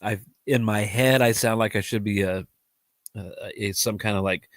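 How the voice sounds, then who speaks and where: A man's voice: on the low side, steady tone, moderate pace, no room sound, clean studio sound. A man hosting a live podcast, talking casually with a nasal, allergy-stuffed voice, rambling, restarting his sentences and laughing often. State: congested from allergies. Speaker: podcast host. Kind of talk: casual solo livestream talk.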